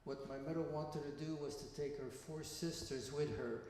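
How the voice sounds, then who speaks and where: A man of around 80, from Belgium, giving a personal testimony to students as a guest speaker in a school hall, telling his story in a measured, plain way with small restarts. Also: on the high side, steady tone, darkish tone, slight background noise. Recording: echoey hall reverb.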